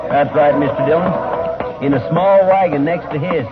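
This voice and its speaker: A man's voice: on the high side, moderate pace, very noisy, close to an everyday voice. A man with an American accent acting a folksy, deferential, loyal sidekick to a frontier marshal in a radio western. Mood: earnest reporting. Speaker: actor playing marshal's assistant. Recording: thin, phone-like vintage radio recording.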